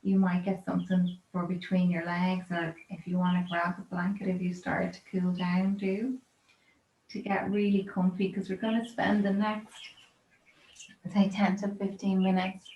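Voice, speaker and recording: A woman yoga teacher guiding a relaxation in a gentle, soothing, unhurried and informal way. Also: fairly low pitch, flat delivery. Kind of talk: guided yoga relaxation instruction. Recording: roomy echo, dull lo-fi sound, clear background noise.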